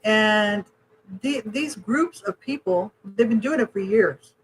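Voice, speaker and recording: A woman's voice: medium pitch, slow pace, poor audio. A woman hosts a podcast in a chatty, indignant way, often chiming in with agreement. Her mid-range voice carries a faint hiss of background noise. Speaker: podcast host. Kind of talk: podcast conversation.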